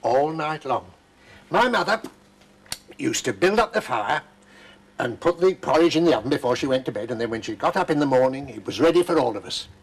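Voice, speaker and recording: A man's voice: mid-range voice, lively intonation, fairly quick, a little hiss or hum, close to an everyday voice. An older man as a kindly storytelling grandad. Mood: patient. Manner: patient, explaining, folksy. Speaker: grandfather who does magic. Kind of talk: children's TV drama acting. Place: kitchen set, indoors.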